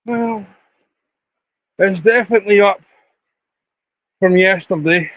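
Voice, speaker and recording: A man's voice: high voice, slow, a bit bright, dry recording, muffled recording. A Scottish man chatting to viewers on a casual live stream outdoors by a river, rambling as he talks into his phone. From Scotland. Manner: rambling, chatty, talking to viewers while walking.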